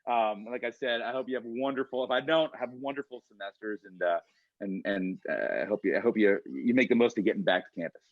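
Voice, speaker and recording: A man's voice: on the low side, fast talker, dry recording. A man speaking as a guest on a video call, earnest and encouraging, with lots of fillers. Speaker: guest speaker, college instructor. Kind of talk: casual video-call talk. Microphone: computer mic on a video call. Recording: dry, dull lo-fi video call audio.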